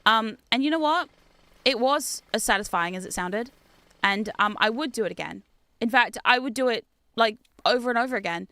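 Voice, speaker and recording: A woman's voice: on the high side, moderate pace, no echo. Chatty woman with a Kiwi-British accent. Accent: mix of New Zealand and British. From New Zealand. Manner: chatty, animated, reads chat aloud, laughs often. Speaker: game streamer. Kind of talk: casual gaming livestream chat.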